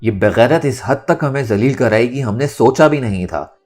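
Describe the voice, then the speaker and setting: A man's voice: mid-range voice, animated, moderate pace, darkish tone, soft background music, close to an everyday voice. A man playing a tyrannical old family patriarch, menacing and seething, in an audio novel.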